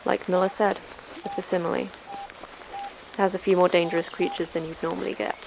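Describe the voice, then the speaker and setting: A woman's voice: lowish voice, fairly even intonation, normal speed, vocal fry, background noise. A woman playing a guarded, knowing guide through dangerous terrain in a sci-fi horror audio drama, cautioning and understated. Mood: wary.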